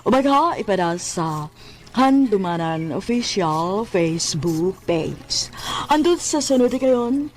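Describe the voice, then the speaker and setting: A woman with a Cebuano Filipino accent reading scripted radio drama announcements in a measured, deliberate way. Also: mid-range voice, expressive. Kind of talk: scripted closing narration.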